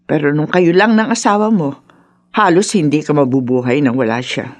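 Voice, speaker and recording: A woman's voice: low-pitched, normal speed, natural voice. A woman playing a doting, protective grandmother in a radio drama. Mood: gentle, consoling.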